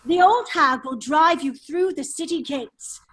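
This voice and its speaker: A woman's voice: high voice, unhurried, a bit bright. A woman reading the part of a daring English baronet with a secret double life in a radio drama. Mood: urgent, secretive. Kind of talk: table read of a 1930s-style radio drama.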